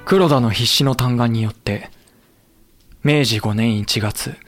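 A young actor playing a loyal samurai officer who narrates soberly and pleads with fiery passion. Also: male voice, mid-range voice, slow, soft background music, lightly acted. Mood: sober narration. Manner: passionate, rising to near shouting when pleading. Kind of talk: audio drama acting and period narration.

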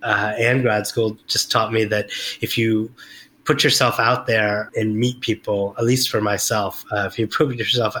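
A middle-aged man talks through his career as an interview guest. His voice sits a bit low yet sounds bright and forceful. He pauses often, with fillers and restarts. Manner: hesitant, many fillers and restarts. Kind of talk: reflective interview talk.

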